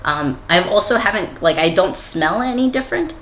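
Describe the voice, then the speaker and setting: A man's voice: sits high, animated, normal speed, sharp tone, a little hiss or hum. A young adult man giving a casual, candid personal video update in a rambling, giggly, self-deprecating way. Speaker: vlogger.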